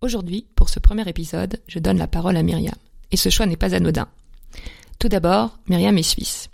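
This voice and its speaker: A woman's voice: on the low side, brisk, creaky, faint music behind. Woman hosting a podcast. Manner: hesitant, many fillers when interviewing. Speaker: podcast host. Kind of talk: scripted intro then interview.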